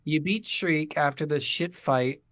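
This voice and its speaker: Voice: mid-range voice, measured pace, fairly bright tone, no room sound. A podcast co-host chatting casually about a video game, swearing freely and acting out reactions in mock dialogue. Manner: profane, blunt, informal. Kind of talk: casual chat recapping a game.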